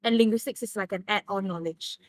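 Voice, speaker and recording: A young woman from Malaysia, a guest in a casual interview who explains her ideas in careful, measured steps. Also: mid-range voice, no room sound. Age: young adult.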